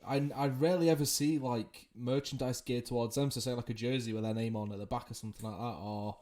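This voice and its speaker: A man's voice: medium pitch, fairly even intonation, fast talker, darkish tone. A man hosting a podcast, putting questions in a casual, conversational and slightly hedging way. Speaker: podcast host. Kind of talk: casual interview talk.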